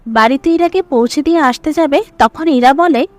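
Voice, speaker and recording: A woman's voice: high voice, moderate pace, no echo. A woman reading scripted story narration as the narrator.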